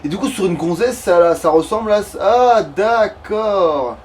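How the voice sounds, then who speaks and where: A man's voice: high-pitched, lively intonation, measured pace, a little mellow, distant mic. A man playing a video game and chatting casually, muttering and swearing in slang. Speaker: let's player. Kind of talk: casual gaming chat. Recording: faint background noise.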